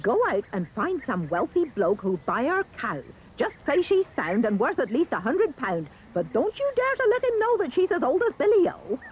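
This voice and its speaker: Woman playing a shrill, scolding storybook mother. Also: big pitch swings, normal speed, few pauses, dull audio, a little hiss or hum. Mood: bossy. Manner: exclamatory, scornful, theatrical. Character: shrill, scolding, bad-tempered mother of a boy. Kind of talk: comic verse storytelling.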